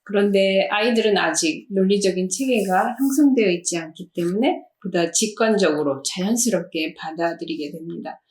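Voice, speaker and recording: A woman professor explaining child development in a calm, measured way. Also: mid-range voice, echoey room. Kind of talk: expert explanation in a discussion.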